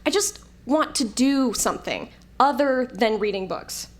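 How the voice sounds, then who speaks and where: A sarcastic, easily exasperated woman with a youthful form played by an actress in an audio drama. Her voice sits on the high side and swings widely in pitch as she grumbles. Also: lively intonation, a little slow. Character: irritable, sardonic old woman restored to a youthful form.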